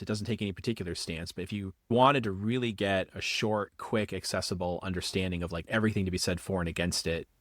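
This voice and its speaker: A man, a professor from the Boston area, explaining ideas quickly in a casual podcast interview, often restarting his sentences. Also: low-pitched, a bit bright, no room sound, studio quality. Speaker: guest, political philosophy professor.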